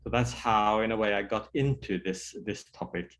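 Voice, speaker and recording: Norwegian-accented man, somewhat low voice, hesitant workshop presenter on a video call, lots of fillers. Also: steady tone, normal speed, a bit bright. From Norway. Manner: hesitant, many fillers and restarts. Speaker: psychiatrist giving a workshop talk.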